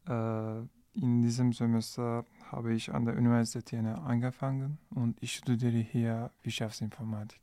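A man talks haltingly on a podcast, pausing on fillers and restarting words. His voice is mellow and smooth, the pace slow and the sound dry and close. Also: medium pitch, flat delivery, dry recording. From Turkey. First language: Turkish. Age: 20s. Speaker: guest, international student. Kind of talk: podcast interview.

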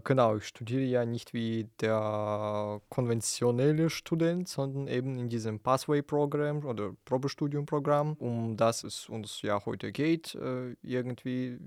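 Chatty young man, smooth voice. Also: mid-range voice, no room sound, studio quality. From Ukraine. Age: young adult. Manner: chatty, many fillers and self-corrections. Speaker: student guest. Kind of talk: casual interview talk. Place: small studio.

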